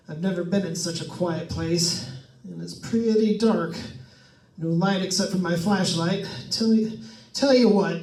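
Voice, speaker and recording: A high-voiced actor playing a nervous man stuck in a haunted house, rambling and joking to listeners as in an old radio thriller. The sound is echoey and set well back from the mic. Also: a little mellow. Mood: hushed, confiding. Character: jittery wisecracking man alone in a haunted house. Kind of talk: old-time radio suspense drama acting. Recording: echoey room, distant mic.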